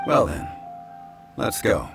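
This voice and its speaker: An actor playing a calm, dignified, learned consultant in a video game, speaking formally and with measured composure. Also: male voice, over music, lightly acted. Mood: calm, firm.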